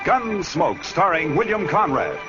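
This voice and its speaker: Dramatic old-time radio announcer, loud music. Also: male voice, on the high side, very animated, unhurried, dull audio. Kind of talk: dramatic scripted 1950s radio introduction. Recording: band-limited old radio recording.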